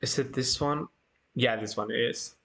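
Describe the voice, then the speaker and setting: A man hosting a casual livestream chat, talking informally in a halting way and often repeating himself. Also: mid-range voice, gravelly, fairly bright tone. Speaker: livestream host.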